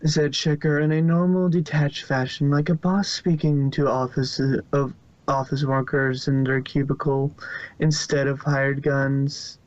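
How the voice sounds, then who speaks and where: A man's voice: mid-range voice, flat delivery, measured pace, a little mellow, no echo, lo-fi sound. A man narrating fan fiction as an amateur reader, in a storytelling style.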